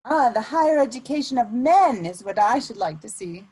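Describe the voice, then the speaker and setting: Worldly Victorian schemer for stage comedy, acted by a woman; high, lively, husky, arch. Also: moderate pace, low-quality recording. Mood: arch, polite. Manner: arch, smoothly polite. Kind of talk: stage comedy dramatic reading.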